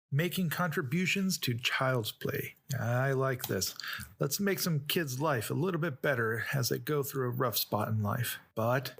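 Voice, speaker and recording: A man delivering a playfully taunting recorded message in a deliberate, theatrical manner. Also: mid-range voice, fairly bright tone. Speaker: donor leaving a recorded message.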